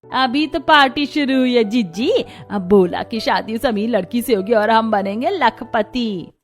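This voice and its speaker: A woman plays a venomous, scheming mother-in-law in a moral story drama. Her voice is very high and theatrical, manipulative in manner, with music underneath. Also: measured pace, lightly acted. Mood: gloating.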